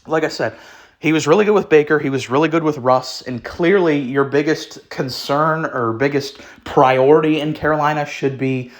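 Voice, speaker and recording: A young man who is sick, hosting his own sports channel and giving blunt opinions in casual talk, rambling with ums and clearing his throat. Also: a little high, normal speed. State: sick, voice sounds off.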